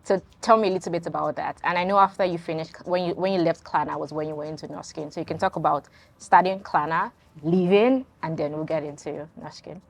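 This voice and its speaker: A woman's voice: deep voice, a little fast, gravelly. A woman hosting an interview, asking curious questions and encouraging her guests with brief responses. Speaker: interview host. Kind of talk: conversational interview.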